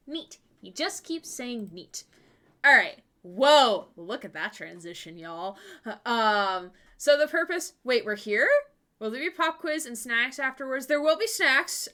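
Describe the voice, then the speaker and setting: A woman's voice: on the high side, sharp tone. A woman in her twenties chatting casually on a livestream, laughing often and joking at her own expense. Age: 20s. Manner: giggly, self-deprecating, laughs often while talking.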